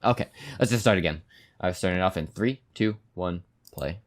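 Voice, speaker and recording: A man with a low-pitched voice hosts a reaction video. He talks in a loose, casual, rambling way, as if chatting to his viewers. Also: a little fast.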